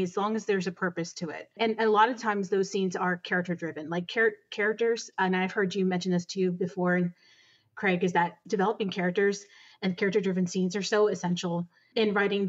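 A woman guest on a podcast interview about making audio dramas, speaking in an earnest, steady way. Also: medium pitch, muffled recording. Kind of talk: interview answers.

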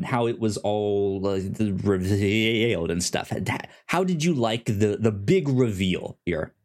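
A man co-hosting a casual TV review discussion, speaking haltingly and stammering over repeated syllables. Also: mid-range voice, a little mellow. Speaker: podcast co-host.

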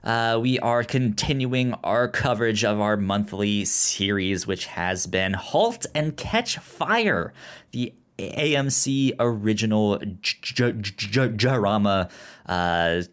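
A man hosting a casual film podcast, often stuttering on sounds and syllables in an easygoing, halting way. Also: fairly low pitch, lively intonation. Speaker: podcast host.

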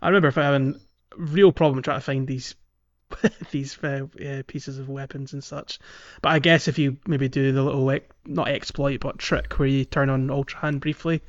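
Halting man, muffled lo-fi podcast sound. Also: mid-range voice, steady tone. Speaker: podcast co-host. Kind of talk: casual chat about a video game playthrough.

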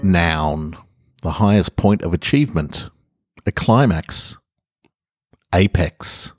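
A man hosting a podcast, speaking in a measured, deliberate way with pauses between phrases. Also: low-pitched, expressive, over music. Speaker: podcast host and reader. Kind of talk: scripted literary monologue narration.

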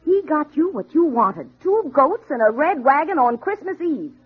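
Lively, feisty newspaper woman, muffled old radio. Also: high voice, normal speed. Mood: indignant. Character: feisty, loyal newspaper office woman, sharp-tongued. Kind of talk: old-time radio drama acting. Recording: dull, muffled vintage radio recording.

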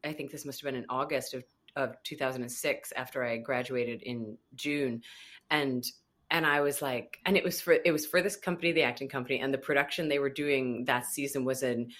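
Low-voiced woman telling a story. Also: brisk. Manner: animated storyteller, acts out her own past thoughts. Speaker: actor and guest. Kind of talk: storytelling in a casual interview.

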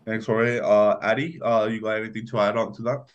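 A man's voice: on the low side, steady tone, a little fast, muffled recording. A man, around his thirties, moderating an informal panel discussion as its host.

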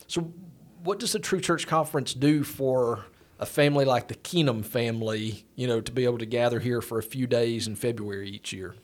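A man's voice: medium pitch, brisk, few pauses. A man hosting a church podcast interview, encouraging and affirming his guests.

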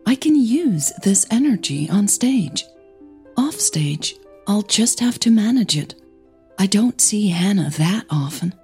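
A woman voicing a nervous, smitten young opera singer in an audio story, speaking softly and a little hesitantly. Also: mid-range voice, animated, slow pace, faint music behind, pitched above the performer's own voice. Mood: musing.

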